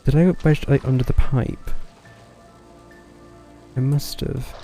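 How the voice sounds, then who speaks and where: Male streamer: mid-pitched, creaky, dry and offhand, thinking aloud over clear game music. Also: steady tone, unhurried, dark tone. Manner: dry, muttering to himself. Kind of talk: casual live gameplay commentary. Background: video game music.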